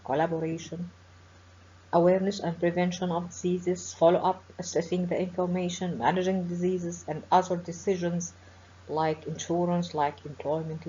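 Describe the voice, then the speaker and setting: Low-voiced Egyptian woman lecturing. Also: dark tone. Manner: formal, measured, with frequent pauses and fillers. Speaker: professor giving a webinar lecture. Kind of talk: academic webinar lecture. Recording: dull lo-fi webinar audio with faint hiss.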